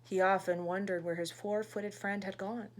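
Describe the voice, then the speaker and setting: A woman teacher reading a picture book aloud expressively, pausing to ask young listeners questions and explain things. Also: medium pitch, steady tone.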